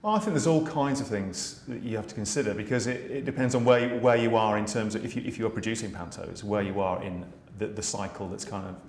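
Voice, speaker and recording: Low-voiced British man in an echoey room. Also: fast, hardly any pauses, a little mellow. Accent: British English. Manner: hesitant, many restarts and fillers. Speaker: panto writer, director and dame. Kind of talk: relaxed interview chat. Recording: echoey room with faint noise.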